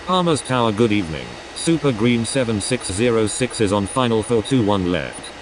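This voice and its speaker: A man's voice: on the low side, animated, normal speed, close to an everyday voice. A man voicing an airline pilot in a game, making formal, procedural radio calls. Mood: procedural, neutral. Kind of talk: scripted aviation radio phraseology. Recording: noisy radio with engine-like drone.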